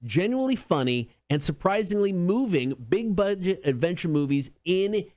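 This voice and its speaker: A man's voice: high voice, animated, normal speed, a little mellow, no echo. A man co-hosting a casual entertainment talk show, chatting informally. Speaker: show co-host.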